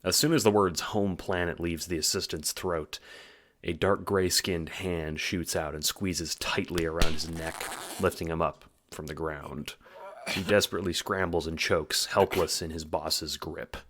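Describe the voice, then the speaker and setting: A man's voice: deep voice, steady tone, unhurried, fairly bright tone. A man delivering scripted audiobook narration in a wry, theatrical, playful way.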